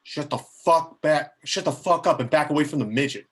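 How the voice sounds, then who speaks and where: A man's voice: a little high, fairly quick, natural voice. A man playing a threatening, profane gang boss in an amateur screenplay table read. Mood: angry. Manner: threatening, swaggering. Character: menacing, profane male strip-club gang leader.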